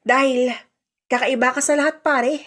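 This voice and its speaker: A woman's voice: high voice, steady tone, moderate pace, fairly bright tone. A woman voicing a nosy, teasing male drinking buddy in a radio-style drama, exclaiming and ribbing a friend.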